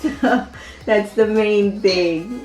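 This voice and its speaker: A woman with a Zambian accent talks eagerly and proudly in a relaxed chat. Her voice has a medium pitch and sounds roomy, with faint music underneath. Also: extremely slow. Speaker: family video creator, radio guest. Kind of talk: casual interview chat. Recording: echoey room with faint music.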